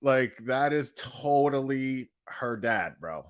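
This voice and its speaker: A man's voice: medium pitch, lively intonation, slow pace. A man ranting in a casual reaction video, blunt and profane, with lots of restarts and slangy fillers. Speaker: online commentator.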